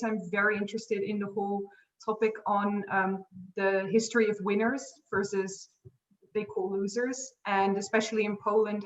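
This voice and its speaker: A woman's voice: medium pitch, a bit bright, roomy sound. Woman from the Netherlands, distant dull mic. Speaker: student. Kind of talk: self-introduction in an online seminar. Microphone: video call.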